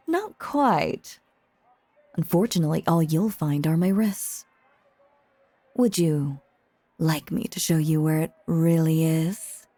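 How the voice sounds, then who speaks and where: A dark, mellow female voice, breathy and very dry, a woman acting as a seductive stage magician in an audio roleplay. She takes her time, theatrical and teasing, with a possessive, doting undertone. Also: sits low, expressive, slow, long pauses, dry recording, heavily acted. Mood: sly, teasing. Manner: theatrical, flirtatious, silky and teasing.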